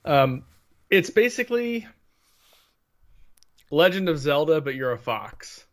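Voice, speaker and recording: A man's voice: fairly high pitch, very animated, takes it slowly. A man co-hosting a casual podcast chat, talking in an emphatic, exasperated way. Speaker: podcast co-host.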